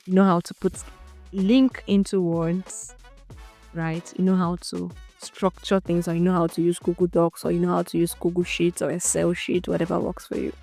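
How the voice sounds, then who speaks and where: A young woman with a Nigerian Igbo accent hosting a solo podcast, thinking out loud in an unscripted, chatty way and laughing often. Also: lowish voice, flat delivery, moderate pace, gravelly, faint music behind. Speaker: podcast host.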